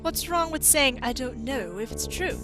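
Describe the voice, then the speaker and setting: A woman's voice: high-pitched, expressive, normal speed, music in the background. A woman playing a haughty, sardonic robotics scientist who taunts slyly in a video game fandub. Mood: mocking.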